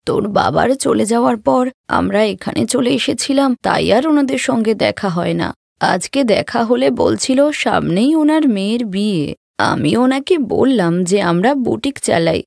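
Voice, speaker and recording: Woman playing kindly cartoon mother, chatty, obliging, creaky voice, dry close recording. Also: mid-range voice, darkish tone, no room sound. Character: kindly chatty widowed mother running a boutique. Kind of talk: Bengali animated moral story acting.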